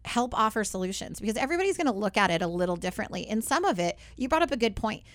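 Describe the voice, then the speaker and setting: A woman hosting a radio talk show in a studio, her voice hoarse and a bit raspy. She chats easily with her guest and keeps checking in with a quick "right?". The sound is dry and close. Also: mid-range voice, big pitch swings, no echo. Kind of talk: casual radio talk chat.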